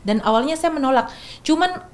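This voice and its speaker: Young woman, slightly high voice, careful explainer who self-corrects often. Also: lively intonation, brisk. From Makassar, Indonesia. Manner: thoughtful, many restarts and fillers. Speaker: guest speaker. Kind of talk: explanatory podcast talk.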